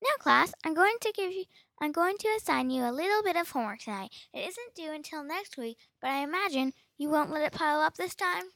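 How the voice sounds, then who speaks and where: A woman's voice: sits high, lively intonation, fairly quick, vocal fry, hardly any pauses, fairly bright tone, no room sound, full character voice. A female performer playing a kindly but firm mother or schoolteacher in school readers' theatre. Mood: pleasant.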